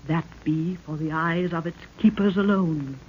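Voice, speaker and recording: A woman's voice: deep voice, measured pace. A woman acting a regal African queen in an old-time radio serial, with archaic, declamatory, solemn speech. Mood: grave, foreboding. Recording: muffled, noisy old radio recording.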